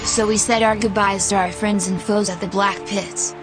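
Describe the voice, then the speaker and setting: Woman as adventurer narrator, medium pitch. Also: steady tone, moderate pace, lightly acted. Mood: reflective. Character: adventurer recounting the party's escape. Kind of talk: scripted first-person narration. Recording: loud music under narration.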